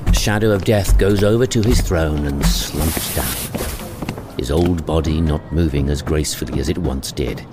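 A man narrating a scripted audio drama with a wry storytelling manner. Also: sits low. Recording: clearly noisy ambient bed behind voice.

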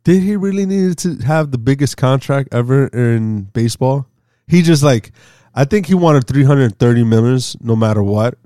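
A man's voice: mid-range voice, moderate pace, mellow, no room sound, clean studio sound. A man in his forties talking baseball in a casual, rambling way as a co-host. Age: 40s. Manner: rambling, chatty, laughs easily. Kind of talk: casual sports talk.

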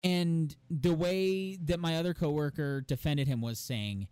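Phlegmy young man ranting, dark dry voice. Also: fairly high pitch, unhurried, smooth, no room sound. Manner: heated, profane storytelling. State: phlegmy, losing his voice. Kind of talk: casual ranting chat.

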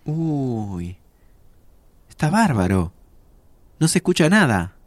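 A sympathetic male friend in an audio drama. His middle-pitched voice has a Rioplatense accent. He talks informally and expressively, with curiosity, in a very dry recording. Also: big pitch swings, slow, dry recording. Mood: curious, amazed. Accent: Rioplatense Argentine. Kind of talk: naturalistic audio drama dialogue.

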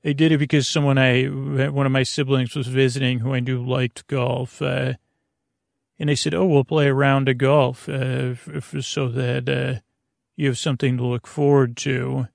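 A middle-aged man with a creaky, gravelly voice hosting a bedtime podcast, rambling haltingly with frequent restarts and digressions, recorded in a small closet at home. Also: medium pitch, little pitch movement, no room sound, studio quality. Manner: halting, digressive, constantly restarting mid-thought. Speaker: host of a bedtime sleep podcast. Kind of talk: rambling, meandering bedtime monologue.

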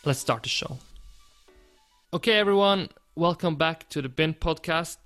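A man's voice: fairly high pitch, moderate pace, fairly bright tone, soft background music. A man hosting a podcast who gives an upbeat scripted introduction and then welcomes his guest. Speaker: podcast host.

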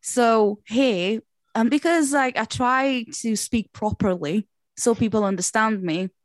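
A woman from Portugal, a native Portuguese speaker, chatting casually in English and telling stories in a chatty, animated way. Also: on the high side, a little slow, dry recording. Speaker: performer who does shows. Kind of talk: casual chat.

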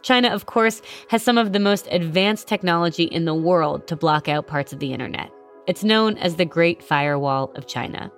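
Woman host narrating, soft and low-pitched. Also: moderate pace, faint music behind. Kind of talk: scripted narration and interview.

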